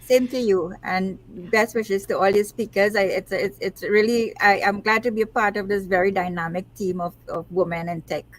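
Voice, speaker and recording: A woman wrapping up her talk on a video call, halting and repeating words as she searches for phrasing. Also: medium pitch, steady tone, poor audio. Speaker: departing speaker.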